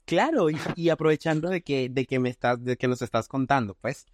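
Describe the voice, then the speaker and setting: Venezuelan man in his twenties, podcast host, halting chatty delivery with restarts, dry close mic. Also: medium pitch, no room sound. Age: 20s. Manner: halting, many restarts and fillers. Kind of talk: casual podcast chat.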